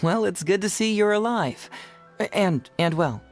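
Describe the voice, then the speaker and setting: A man's voice: high voice, expressive, a little slow, soft background music. An actor playing a shrewd young male detective in a video game, breezy, polite and playfully needling. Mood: friendly, coaxing.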